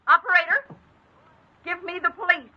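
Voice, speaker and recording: An actress playing a stern, indignant housekeeper in a 1950s radio drama, sharp, scolding and emphatic. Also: female voice, extremely high pitch, normal speed. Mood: alarmed, indignant. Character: stern, indignant housekeeper confronting a thieving boy. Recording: muffled old radio broadcast.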